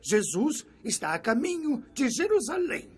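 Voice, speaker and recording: An actor playing a grave male council official in an animated Bible drama, formal, with drawn-out delivery. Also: very high voice, exaggerated voice. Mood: grave concern.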